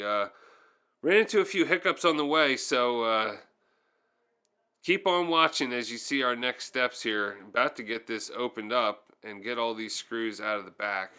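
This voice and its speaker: A man's voice: fairly low pitch, bright, forceful tone, clean tone. A man giving casual, hands-on how-to narration as a tutorial host, thinking aloud a little hesitantly as he goes.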